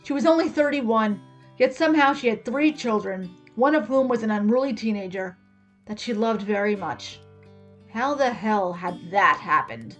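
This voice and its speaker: Female story reader, fairly high pitch, expressive scripted narration, plucked guitar music behind.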